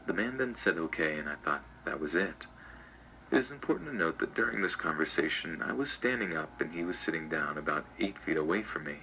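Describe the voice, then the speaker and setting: A man reading a scripted first-person story in an even, measured way. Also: low-pitched, vocal fry, slight background noise, rough recording. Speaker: story narrator.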